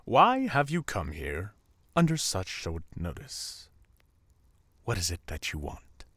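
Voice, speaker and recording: A man playing a stern, possessive royal father in a fantasy audio drama, husky and halting, with an imperious, menacing manner. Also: low voice, huge pitch swings, fairly bright tone, no room sound, full character voice. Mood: incredulous, menacing. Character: stern, possessive king, anguished father of ailing princess. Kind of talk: audio roleplay drama acting.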